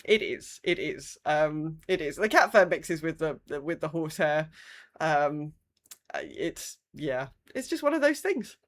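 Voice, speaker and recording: A woman's voice: deep voice, sing-song, a little slow. A woman with a British accent chatting casually as a podcast co-host, with a wry, dry sense of humour. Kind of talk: casual chat.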